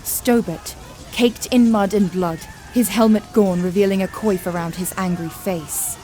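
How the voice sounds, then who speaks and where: A woman's voice: medium pitch, fairly even intonation, a little slow, dark tone. Grave female fantasy narrator over music. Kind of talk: scripted dramatic fantasy audio narration.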